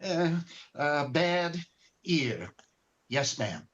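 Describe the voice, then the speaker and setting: An ancient, meek angel-in-training acted by a man from an old-time radio play. The speech is slow, hesitant and eager to please, with great deference. The audio is a little dull, as on a video call. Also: on the high side, sing-song, a bit bright, exaggerated voice. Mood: concerned, attentive. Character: eager, timid, very old apprentice angel, polite. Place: remote video call recording.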